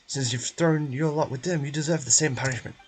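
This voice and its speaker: A man's voice: mid-range voice, brisk, husky, natural voice. A man voicing a cold, rigid prison officer in an amateur game dub, formal and menacing. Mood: stern.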